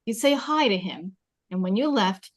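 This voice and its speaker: A woman's voice: medium pitch, lively intonation, moderate pace, close to an everyday voice. A woman reading the part of a popular, conceited and defensive teenage girl in a film script table read, argumentative and frank. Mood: defensive, blunt.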